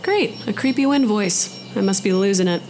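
A woman's voice: medium pitch, normal speed, a little mellow, echoey room, slight background noise, close to an everyday voice. A woman playing a wry, plucky lone scavenger in a science fiction audio drama, muttering to herself. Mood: sardonic. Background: music.

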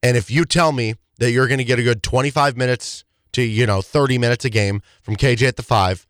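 A man co-hosting a sports talk show, hoarse from losing his voice, rambling with frequent restarts and fillers. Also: mid-range voice, fairly quick, fairly bright tone, no echo, clean studio sound. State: hoarse, voice partly lost. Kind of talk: casual sports chat.

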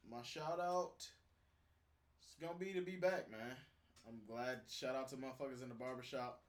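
A man's voice: mid-range voice, moderate pace. A young man on a casual podcast, teasing and boastful. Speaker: podcast co-host.

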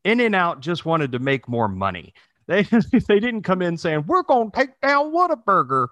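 Animated American man with swooping pitch. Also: normal speed, no echo. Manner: animated, profane, opinionated ranting. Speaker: podcast co-host. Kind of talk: casual chat.

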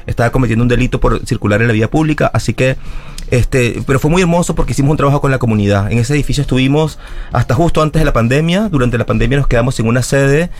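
A guest from Venezuela, speaking earnestly in long explanatory runs with small restarts during a radio interview. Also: male voice, medium pitch, quick pace, a little mellow. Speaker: guest, academic secretary of a school. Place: radio studio.

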